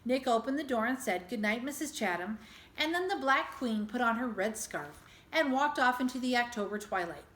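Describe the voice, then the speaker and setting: Woman reading a story, echoey high voice. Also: lively intonation, moderate pace, fairly bright tone. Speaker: teacher reading a children's book aloud. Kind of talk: expressive storybook narration. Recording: echoey room.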